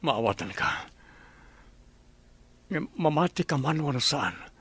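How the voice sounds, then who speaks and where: Husky, high-toned Ilocano husband played by a man. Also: big pitch swings, slow, no echo, full character voice. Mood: remorseful. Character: remorseful, defensive Filipino husband and father Jojo. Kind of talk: Ilocano radio drama acting. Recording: soft music bed behind.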